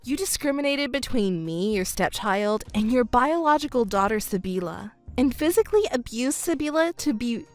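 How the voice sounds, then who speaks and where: A woman voicing a composed young stepdaughter who speaks in a calm, cold, measured way. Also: on the high side, faint music behind, lightly acted. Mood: stern. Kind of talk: narrated drama dub.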